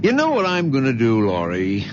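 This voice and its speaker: A man's voice: medium pitch, wildly swooping pitch, moderate pace. An actor playing an impulsive, indignant modern young man in an old-time radio drama. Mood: exasperated. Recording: muffled, very noisy vintage radio recording.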